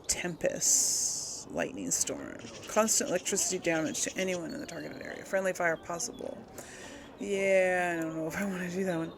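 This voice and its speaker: A woman giving casual game commentary as she plays, musing and thinking out loud to her audience. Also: on the low side, animated, moderate pace, background noise. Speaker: gamer, host of a role-play let's play.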